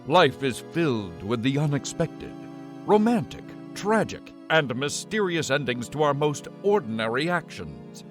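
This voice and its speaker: Casting: man as vintage-style radio announcer; higher-set voice, very animated, portentous delivery. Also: unhurried, faint music behind. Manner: melodramatic, portentous. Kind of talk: old-time radio announcing, scripted.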